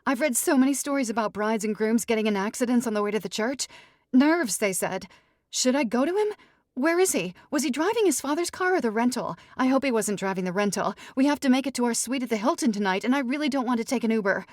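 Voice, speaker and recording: A woman's voice: a little high, lively intonation, fast, a little mellow, no room sound, studio quality, pitched above the performer's own voice, lightly acted. A woman voicing a naive, stubborn jilted young bride in an audiobook, pleading and rambling in a breathless manner. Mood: panicked, rambling. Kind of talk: audiobook character dialogue.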